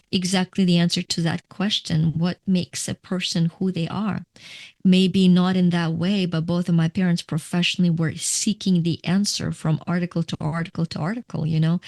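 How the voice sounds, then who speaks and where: A woman's voice: lowish voice, darkish tone, no echo, studio quality. A middle-aged woman from Ukraine, a coach answering interview questions in a reflective, explanatory way with small restarts. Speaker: guest, coach.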